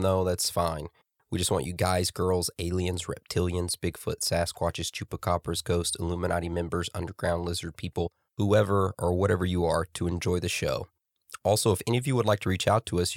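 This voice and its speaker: A man with a very low voice hosts a laid-back podcast, a little stuffed-up from a cold. He jokes around and laughs easily, and the sound is dry and close with no room echo. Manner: relaxed, jokey, laughs often. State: congested from a cold. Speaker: podcast co-host. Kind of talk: casual podcast chat. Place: studio across from co-host.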